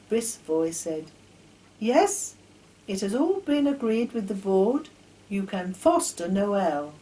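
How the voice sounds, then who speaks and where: An older woman reading a children's story aloud in an expressive, deliberate storytelling manner. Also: lowish voice, slight background noise, poor audio. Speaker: author reading her own children's stories. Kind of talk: storybook narration.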